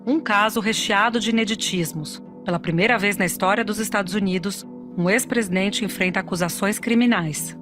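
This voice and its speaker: A woman's voice: mid-range voice, brisk. A Brazilian woman presenting scripted news narration on a podcast. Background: music.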